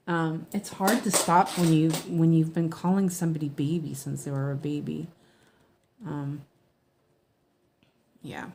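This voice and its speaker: Slow-talking hoarse woman stitching at home. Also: sits low, a little mellow. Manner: chatty, rambling, many ums and self-corrections. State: hoarse from allergies. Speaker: cross-stitch streamer. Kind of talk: casual stitch-along chat.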